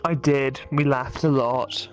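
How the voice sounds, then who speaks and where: A man's voice: mid-range voice, fairly even intonation, slow, soft background music. A man guesting on a gaming stream, chatting casually with crude, mock-serious humour. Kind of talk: casual joking chat.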